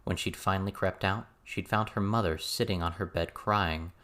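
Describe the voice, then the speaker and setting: Low-voiced man narrating fiction. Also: fairly even intonation, normal speed, a bit bright. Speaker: audiobook narrator. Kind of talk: scripted story narration.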